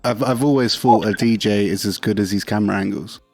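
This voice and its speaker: A man's voice: fairly low pitch, steady tone, brisk, a little mellow, faint music behind. A man hosting a casual chat, dry and teasing. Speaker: show host.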